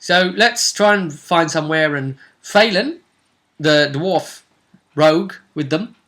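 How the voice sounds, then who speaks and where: A man's voice: a little high, slow, a bit bright. A man speaking casually and jokingly as a host and game master, recapping a tabletop role-play session with his co-host. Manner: jokey, bantering with co-host.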